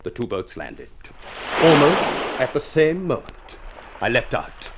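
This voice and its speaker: A man's voice: medium pitch, sing-song, measured pace. An actor playing a glib, grandiloquent man with a flowery tongue in a vintage radio drama. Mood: breathless storytelling. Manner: florid, grandiloquent delivery. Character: ugly, glib, flowery-tongued suitor turned pushy remedy salesman. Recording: noisy vintage radio recording.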